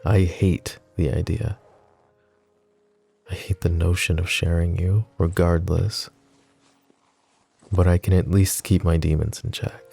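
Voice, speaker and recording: A very deep, creaky-voiced mafia boss played by a man murmurs to his listener in an intimate audio roleplay. His tone is dark and mellow, possessive yet teasing, and he takes his time between phrases. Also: little pitch movement, measured pace, long pauses, faint music behind, full character voice. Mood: grave, protective.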